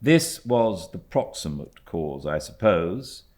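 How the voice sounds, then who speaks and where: A man with a British accent reading a classic novel as an audiobook narrator, in a measured, expressive storytelling manner. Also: sits low. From Britain. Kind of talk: literary scripted narration.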